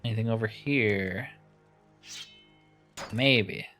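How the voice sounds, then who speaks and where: A man's voice: fairly low pitch, slow pace, bright, forceful tone, faint music behind. A man giving casual let's-play commentary, musing aloud with wry asides as he plays. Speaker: gamer narrating his playthrough.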